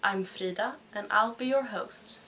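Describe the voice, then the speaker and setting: A Swedish woman in her twenties hosting a podcast in casual chat, speaking with a Swedish accent and pausing often to find her words. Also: mid-range voice, sharp tone, slight background noise. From Sweden. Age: 20s. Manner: hesitant, searching for words. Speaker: podcast host.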